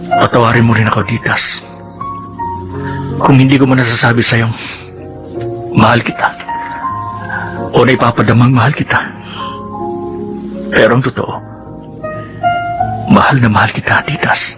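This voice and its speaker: A man's voice: mid-range voice, level tone, measured pace, pauses often, close to an everyday voice. Male actor as repentant loving husband, music behind. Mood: loving, apologetic. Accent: Filipino. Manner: earnest, emotional confession. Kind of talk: Filipino radio drama acting.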